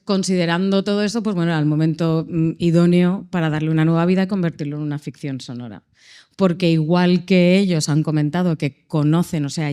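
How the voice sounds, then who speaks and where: Smooth, mellow-voiced woman explaining. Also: lowish voice. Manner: explanatory, fluent with fillers. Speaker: production and casting lead. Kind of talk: panel answer.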